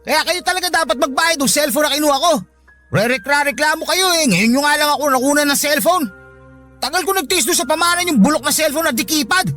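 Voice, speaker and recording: A woman's voice: high voice, fast talker, a bit bright, soft background music. A woman with a Filipino accent playing a lazy, insolent, bullying grown child in a radio drama. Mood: whiny, resentful. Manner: whiny, brash, defiant.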